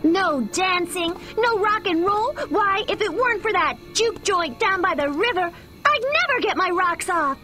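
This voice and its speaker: Dreamy American teen sweetheart, 1950s, very high, swooping, melodramatic, video game. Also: female voice, animated, measured pace, bright, forceful tone, faint music behind, full character voice. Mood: wistful, bored. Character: dreamy, bored 1950s small-town American teen girl.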